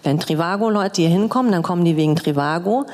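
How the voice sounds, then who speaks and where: A woman's voice: fairly low pitch, fast talker, a little mellow. A middle-aged woman chatting informally and anecdotally as a guest on a live panel. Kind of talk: casual live panel chat. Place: open design office event space. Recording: reverberant hall, live event.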